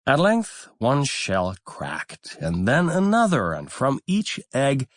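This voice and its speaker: A man narrating a fairy tale as a scripted audiobook, in a measured, expressive storytelling manner. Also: mid-range voice, no echo, studio quality.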